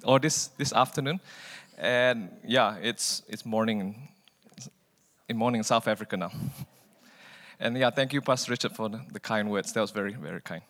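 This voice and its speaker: A man from Malaysia giving a guest sermon in a relaxed, chatty way, often repeating a word as he starts a phrase. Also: fairly low pitch, normal speed, pauses often, big-room sound. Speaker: guest preacher. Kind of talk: informal sermon.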